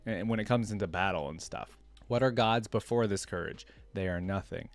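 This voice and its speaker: Low-pitched man, informal reaction host, dry sound. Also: moderate pace, dry recording. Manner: informal, colloquial. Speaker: reaction video host reading a story aloud. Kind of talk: reading a fantasy story aloud with casual off-the-cuff commentary.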